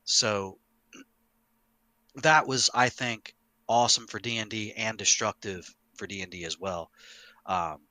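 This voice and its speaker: A middle-aged man talks as a podcast host with a low, very forceful, bright voice. He explains things emphatically and casually, recorded close and dry. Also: unhurried, long pauses, no room sound. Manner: emphatic, sweary, animated explainer. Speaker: podcast host, longtime game master.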